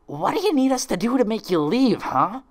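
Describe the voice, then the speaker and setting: A cocky, confrontational young pegasus mare from a cartoon fan story, played by a man. The voice is pitched up and pushy. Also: sing-song, brisk. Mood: aggressive. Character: brash, hostile young female pegasus.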